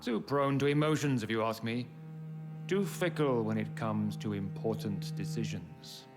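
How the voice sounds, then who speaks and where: An actor playing a haughty, centuries-old aristocratic vampire lord in a video game, with a British accent and a pompous, measured, condescending delivery. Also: male voice, fairly low pitch. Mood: smooth condescension. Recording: orchestral music behind.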